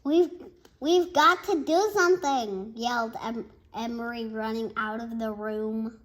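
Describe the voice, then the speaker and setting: A child reading a bedtime story aloud in an expressive, halting way, with asides to listeners. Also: medium pitch, rough recording. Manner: expressive, halting, shouts out dialogue. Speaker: storybook reader.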